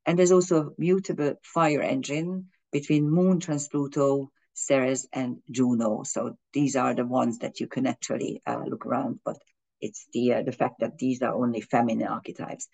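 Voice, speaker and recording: A woman's voice: extremely low pitch, steady tone, moderate pace, vocal fry, warm tone. Fumbling woman astrologer. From Hungary. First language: Hungarian and German. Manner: fumbling, with frequent restarts and fillers. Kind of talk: explaining an astrology chart in a video.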